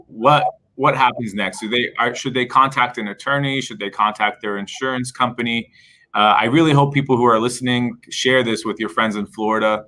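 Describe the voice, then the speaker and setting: Bright-toned male interview host. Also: mid-range voice, rough recording. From Palestinian background. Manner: conversational, asks long rambling questions. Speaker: host, attorney. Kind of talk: live-stream interview hosting.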